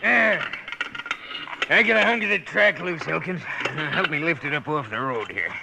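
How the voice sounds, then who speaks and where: An actor playing a cold, gloating American outlaw in an old Western radio drama. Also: male voice, fairly high pitch, lively intonation, normal speed, vocal fry. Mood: urgent. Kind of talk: 1950s Western radio acting. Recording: noisy old radio recording.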